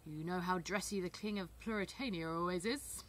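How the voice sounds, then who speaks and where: A woman's voice: lowish voice, normal speed, a little hiss or hum, natural voice. A woman voicing a vain, anxious king in a storybook, blustering and seeking reassurance. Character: vain, anxious, self-pitying male king.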